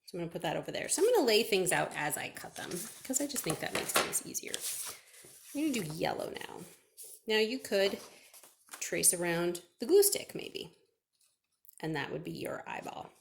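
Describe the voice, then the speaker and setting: A woman's voice: on the low side, big pitch swings, moderate pace, gravelly, a little hiss or hum. A woman chatting through a step-by-step craft for children, asking questions and answering them herself. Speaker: children's librarian leading a craft.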